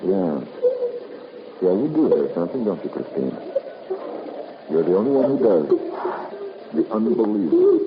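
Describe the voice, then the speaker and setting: Low-voiced, hard American private eye. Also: male voice, heavily acted. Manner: clipped, sardonic, accusing. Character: tough, wisecracking male private investigator. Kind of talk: 1950s radio drama acting. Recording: noisy, muffled 1950s radio recording.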